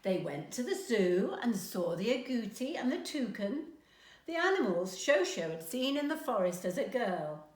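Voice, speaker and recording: A woman's voice: fairly high pitch, sing-song, moderate pace, reverberant. A woman narrating a children's storybook aloud as a storyteller. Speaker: storyteller, grandmother.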